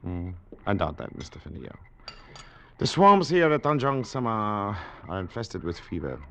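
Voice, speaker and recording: An actor playing an urbane, wry colonial district official with a deliberate, formally polite manner, in old radio drama style. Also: male voice, on the low side, sing-song, pushed and bright, a little hiss or hum, heavily acted. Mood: quietly ominous. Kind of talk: 1940s-50s radio drama acting.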